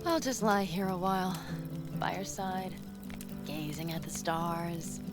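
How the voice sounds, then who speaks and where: An actress playing a tough, wry nomad leader in a video game, blunt and teasing. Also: female voice, on the low side, unhurried, lightly acted. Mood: wry, intimate. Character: tough, wry nomad woman leading a convoy raid. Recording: loud game music over voice. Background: loud music, vehicle noise.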